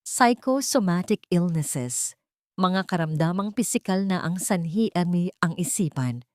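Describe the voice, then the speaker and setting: A woman with a low-pitched voice reads a dramatic story as a scripted narration. She phrases it in a measured way, pausing for emphasis, and the recording is dry and close. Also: a little mellow, no echo.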